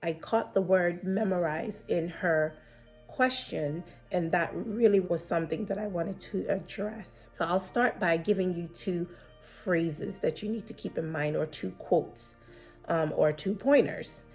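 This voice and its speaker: A woman with a fairly low voice that sounds a little stuffed up from a cold, talking casually but earnestly to her viewers. She gives encouraging study advice, glancing at her notes as she speaks. Faint music sits under her voice. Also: unhurried, a little mellow. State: slightly congested from a cold. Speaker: exam prep vlogger and mentor. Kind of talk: casual advice vlog to camera. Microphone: camera facing her, laptop beside.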